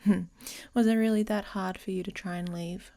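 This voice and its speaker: A woman playing a grumpy, guarded female werewolf in an intimate ASMR roleplay, speaking in a halting, breathy, often whispered murmur. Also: medium pitch, fairly even intonation, dark tone, lightly acted. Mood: vulnerable, hesitant.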